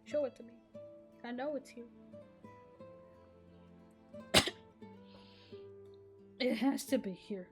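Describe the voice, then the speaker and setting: Exasperated ranting woman, higher voice. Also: takes it slowly, long pauses, fairly bright tone, soft background music. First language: Arabic. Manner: rambling, exasperated, keeps breaking off mid-sentence. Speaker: gaming vlogger. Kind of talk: casual rant to camera.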